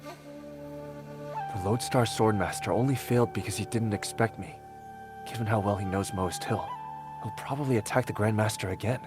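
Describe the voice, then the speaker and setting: An actor playing a bold, wary wandering hero in a video game, earnest and quick to question others. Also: male voice, lowish voice, sing-song, over music. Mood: urgent. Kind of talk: dramatic video game acting.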